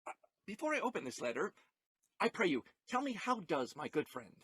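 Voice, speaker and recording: An actor playing an earnest, distraught young gentleman lover in a Shakespearean audio play, with theatrical, declamatory delivery. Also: sits high, sing-song, brisk, breathy edge, no echo, heavily acted. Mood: anxious.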